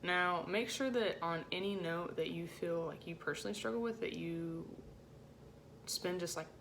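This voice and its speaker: A woman with a low-set voice giving an informal music rehearsal lesson as a choir section leader, in a casual, encouraging way with hesitant phrasing. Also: moderate pace, gravelly, a bit bright, a little hiss or hum. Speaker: choir section leader teaching alto parts.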